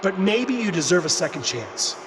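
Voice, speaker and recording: A man playing a smug veteran champion wrestler giving a measured, condescending promo in a video game. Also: high voice, very animated. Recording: noisy arena PA with long reverb.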